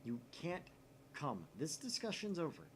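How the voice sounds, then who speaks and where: A man's voice: fairly high pitch, huge pitch swings, measured pace, a little hiss or hum, pitched above the performer's own voice. A man voicing a brash, self-important young man who brushes others off. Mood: impatient. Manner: brash, dismissive.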